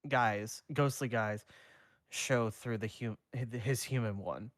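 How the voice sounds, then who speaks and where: A man streamer reading a fanfic aloud, haltingly, with frequent self-corrections. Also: medium pitch, dry recording, clean studio sound. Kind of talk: fanfic narration, read live.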